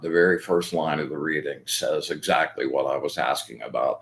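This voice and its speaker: A man hosting a casual spiritual talk, rambling and enthusiastic, full of fillers and restarts, walking his viewers through his methods step by step. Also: rumbling low. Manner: explains step by step. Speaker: host.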